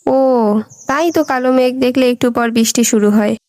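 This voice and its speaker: A woman as a curious, very high-pitched cartoon girl-next-door. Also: moderate pace, a little mellow, no echo, natural voice. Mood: understanding, thoughtful. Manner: exclaiming, questioning, colloquial. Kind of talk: educational cartoon dialogue.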